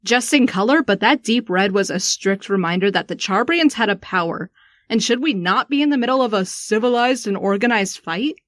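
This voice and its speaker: A woman playing a shaken, sharp-tongued young woman in a first-person audio drama. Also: mid-range voice, expressive, normal speed, no room sound, clean studio sound, natural voice. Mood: tense narration.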